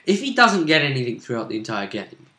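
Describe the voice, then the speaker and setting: A man's voice: mid-range voice, very animated, fast, pushed and bright. A man chatting casually as co-host of a tabletop role-playing vidcast, going over the campaign. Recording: echoey room.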